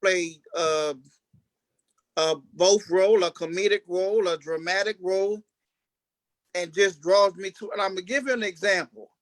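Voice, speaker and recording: A male interview guest with a high voice and very little room echo. He talks casually, starting and stopping, and repeats phrases for emphasis. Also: moderate pace. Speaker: stage actor, interview guest. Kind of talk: casual chat.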